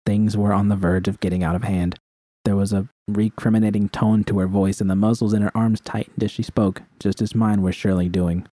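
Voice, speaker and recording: A man's voice: on the low side, little pitch movement, a little fast, mellow, no room sound. A man reading a first-person dramatic story as its narrator. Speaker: narrator reading fan fiction.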